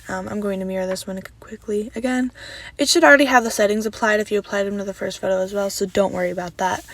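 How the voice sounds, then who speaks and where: A young woman walking viewers through video editing steps in a relaxed, chatty way, her voice a little raspy because she has just woken up. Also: mid-range voice, dry recording. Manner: relaxed, chatty step-by-step instructions with "so yeah" fillers. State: slightly raspy from just waking up. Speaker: video editing tutorial creator. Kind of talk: casual screen-recorded tutorial voice-over.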